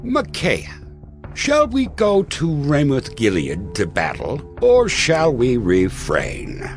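A man's voice: medium pitch, wildly swooping pitch, takes it slowly, soft background music, exaggerated voice. A man playing an imperious, resentful king in a dramatized audio Bible, speaking in a commanding, theatrical way.

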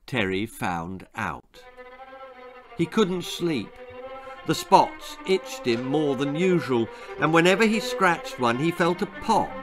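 Older male storyteller, theatrical and deliberate, over music. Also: a little high. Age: older, about 60s or 70s. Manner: theatrical, deliberate delivery with drawn-out key words. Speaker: author reading his own story aloud. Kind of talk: dramatic children's horror-comedy storytelling. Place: book-lined room indoors. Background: background music.

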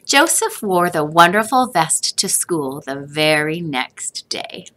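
A woman reads a children's story at an unhurried pace. Her delivery is highly expressive and lilting, with a bright, clear-cut tone. She lingers over repeated phrases in a storytime style. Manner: animated, deliberate, sing-song repetition of phrases. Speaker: teacher reading a picture book aloud.

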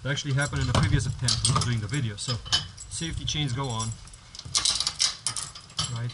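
A man's voice: mid-range voice, fairly quick, heavy background noise. Rambling man with an action cam outdoors. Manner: rambling, restarts sentences, explains step by step. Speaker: vlogger. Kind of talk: casual how-to vlog. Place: outdoors on a home driveway by a garage. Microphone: head-mounted action camera.

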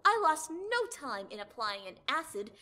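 A woman's voice: high voice, sing-song, moderate pace, pushed and bright, exaggerated voice. A woman playing a pompous boy scholar. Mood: lecturing. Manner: pompous, theatrical. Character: pompous boy scholar, grandly self-assured. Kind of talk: audio drama acting.

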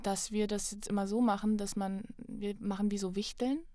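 Podcast guest: young woman, conversational and informal, medium pitch, very little room sound. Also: fairly even intonation, moderate pace, mellow, dry recording, clean studio sound. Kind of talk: casual chat.

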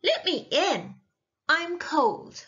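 Woman playing a high-voiced haughty young storybook princess. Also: very animated, very slow, fairly bright tone, highs cut off, full character voice. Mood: pleading. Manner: entitled, insistent. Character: haughty, spoiled young princess, demanding and plaintive.

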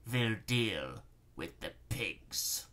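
A man voicing a pompous, vengeful baron, issuing deliberate threats in a storybook reading. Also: fairly low pitch, big pitch swings, pushed and bright, full character voice. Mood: menacing, gloating. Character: pompous, vengeful villainous male baron plotting war.

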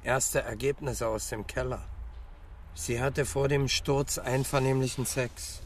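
Ein Schauspieler spielt in einem Radiokrimi einen sachlichen, gründlichen Kommissar, knapp und nüchtern im Ton. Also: male voice, mid-range voice, steady tone, a little slow, a little hiss or hum, close to an everyday voice. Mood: matter-of-fact. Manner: clipped, matter-of-fact. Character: sober, thorough male police detective reading case facts. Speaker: police detective in a radio crime drama. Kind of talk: naturalistic German radio drama acting.

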